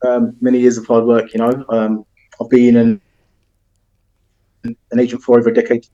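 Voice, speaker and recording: A man with a South London accent talks through his career over a video call, his delivery almost entirely flat and monotone. His voice is dark and mellow, and he rambles along easily with plenty of ums and you-knows. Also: mid-range voice, moderate pace, lo-fi sound. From England. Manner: relaxed, rambling, many "um" and "you know". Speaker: football agent. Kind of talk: casual interview answers.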